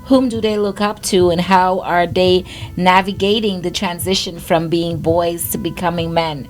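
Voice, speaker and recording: A woman's voice: lowish voice, fairly even intonation, normal speed, soft background music, poor audio. A woman from Guyana with a Guyanese accent, co-hosting a podcast in casual chat. She laughs often and restarts her phrases. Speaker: podcast co-host.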